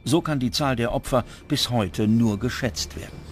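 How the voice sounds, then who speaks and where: A man's voice: mid-range voice, moderate pace. A man reading scripted documentary narration as the narrator. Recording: music bed under the voice. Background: prominent background music.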